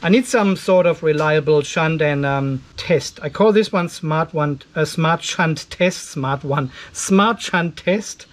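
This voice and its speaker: A man's voice: fairly high pitch, slow, few pauses. A man in his fifties giving a casual hands-on tech demonstration, conversational and thinking aloud as he works. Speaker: host of an off-grid solar video channel. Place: indoor garage workshop.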